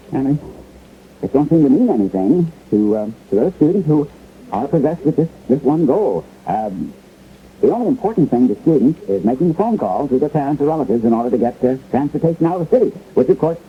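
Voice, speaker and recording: A flustered 1970s radio newscaster delivering an emergency bulletin. His pitch moves around a lot as he hesitates and searches for words. The sound is narrow and tinny, with a faint hiss behind it. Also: sing-song. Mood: shaken, halting. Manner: halting, hesitant, groping for words. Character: flustered male local radio newsman relaying grim emergency orders. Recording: tinny, hissy vintage radio broadcast.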